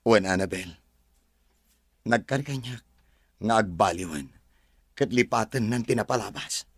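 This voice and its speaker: An actor with an Ilocano accent playing a calm, forgiving younger brother in a drama. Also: male voice, lowish voice, a little slow, husky, no echo, studio quality. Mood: reassuring. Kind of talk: drama dialogue.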